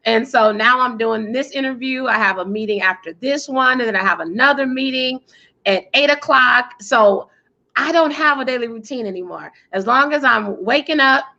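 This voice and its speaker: An American woman with a fairly high, very bright voice speaks as an interview guest. She is articulate, emphatic and self-assured, with a powerful delivery. The recording is slightly dull and lo-fi. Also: moderate pace. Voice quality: loud, powerful voice. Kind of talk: conversational interview answers, self-introduction.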